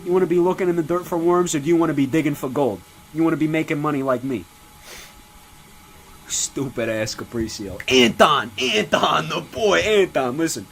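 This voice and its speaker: A man playing a crude, foul-mouthed old Italian-American mobster who belittles people and rambles with sudden loud outbursts. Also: on the high side, moderate pace. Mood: ranting. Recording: music playing clearly behind.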